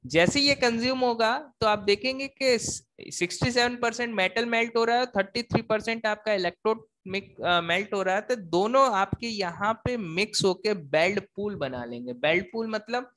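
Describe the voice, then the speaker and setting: A man's voice: high voice, moderate pace, few pauses, fairly bright tone. Man explaining like a teacher. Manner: asks and answers own questions, repeats points; mixes in English technical terms. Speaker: engineering teacher. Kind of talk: explanatory technical lecture.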